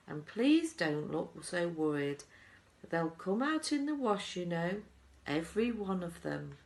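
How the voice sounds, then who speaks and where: A woman's voice: lowish voice, big pitch swings, a little slow, creaky, exaggerated voice. A woman voicing a breezy, eccentric male chocolate factory owner, emphatic and dismissive, from a children's storybook. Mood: brisk, breezy.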